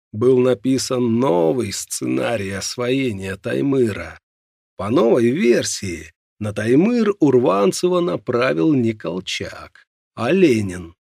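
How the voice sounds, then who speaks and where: A man with a gravelly, husky voice narrating a nonfiction audiobook in a measured, dramatic storytelling way. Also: medium pitch, a little mellow, dry recording, clean studio sound.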